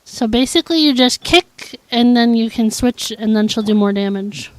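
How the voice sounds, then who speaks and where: Girl streamer w a kinda high voice, chatting casually while gaming, thinks out loud. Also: moderate pace, no room sound, poor audio. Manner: chatty, thinking aloud, answering viewers. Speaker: game livestreamer. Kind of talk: casual chat while playing a video game.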